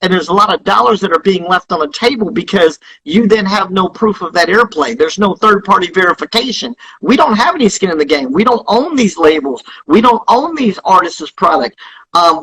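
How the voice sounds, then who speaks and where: A man speaking as an interviewed company founder, explaining things in an emphatic, salesman-like way with a husky voice. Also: high-pitched, pushed and bright, low-quality recording.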